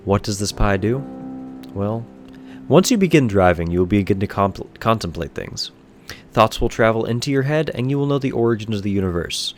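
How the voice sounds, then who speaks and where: A man with a fairly low voice tells an eerie bedtime story, addressing the listener directly. His delivery is measured and solemn, like a scripted reading. Guitar music plays clearly behind him. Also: lively intonation.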